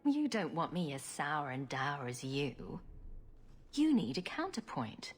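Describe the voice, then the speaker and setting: A woman's voice: low voice, big pitch swings, takes it slowly, faint music behind, full character voice. An actress playing a self-assured female adventurer in a video game, with a dry, sardonic delivery. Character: wry, self-assured female adventurer hero with quick sarcastic wit.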